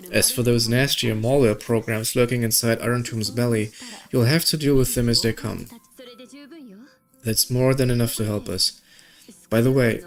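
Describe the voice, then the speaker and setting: A man reading video game story dialogue aloud and adding casual commentary, occasionally stumbling over words. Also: mid-range voice. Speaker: gaming video creator. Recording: music behind the voice.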